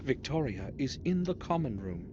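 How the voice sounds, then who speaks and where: An actor playing a matter-of-fact protagonist who observes an old castle aloud in a video game. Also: male voice, mid-range voice, very animated, normal speed, close to an everyday voice. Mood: observant. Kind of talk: scripted video game narration. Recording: muffled, very noisy game audio.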